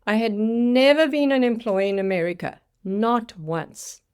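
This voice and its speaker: A woman's voice: fairly high pitch, a little slow, darkish tone, clean tone. South african lady in her 60s telling her story, dramatic, acts out little quotes. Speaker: career coach. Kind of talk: scripted storytelling monologue.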